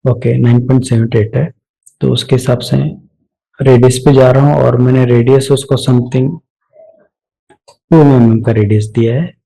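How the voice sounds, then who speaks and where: A man giving a step-by-step software tutorial off the cuff, mixing English technical terms into his speech. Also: medium pitch, steady tone, normal speed, vocal fry, long pauses, poor audio. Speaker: software instructor.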